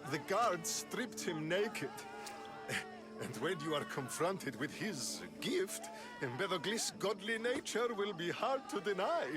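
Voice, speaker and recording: A high, swooping male zealot of ancient Greece, straight out of a video game. He is fawning, earnest and overblown in his devotion, with music playing behind him. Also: very animated, takes it slowly, heavily acted. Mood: earnest reverence. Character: fervent, pleading male cult follower in ancient Greece. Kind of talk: dramatic video game dialogue.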